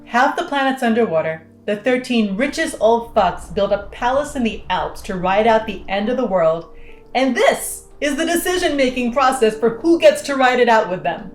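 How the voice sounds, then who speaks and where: A woman's voice: fairly high pitch, lively intonation, normal speed, reverberant, faint music behind, natural voice. A woman playing a cocky, sardonic pilot in an audio drama, with a dry, blunt, teasing delivery. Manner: dry, sarcastic, blunt, foul-mouthed teasing. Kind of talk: naturalistic audio drama dialogue.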